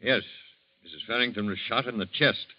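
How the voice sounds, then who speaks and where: An actor playing a blunt, hard-nosed police captain who talks in curt commands in a 1940s radio drama. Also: male voice, fairly low pitch, a little fast, no echo, natural voice. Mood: stern. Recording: muffled old radio recording.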